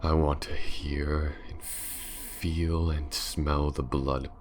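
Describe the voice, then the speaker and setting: A man playing a menacing, aristocratic vampire lord in an ASMR roleplay, slow and theatrical, often sinking into a sinister whisper. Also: rumbling low, level tone, heavily acted. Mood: mocking, predatory.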